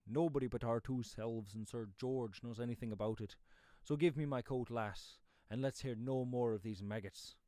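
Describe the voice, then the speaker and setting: Man acting a blunt, loud country father. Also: medium pitch, no room sound, clean studio sound, lightly acted. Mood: amused, dismissive. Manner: blunt rustic speech, brisk and firm. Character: gruff, kindly, quick-speaking yeoman father, brusque and dismissive. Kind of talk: audiobook character voice.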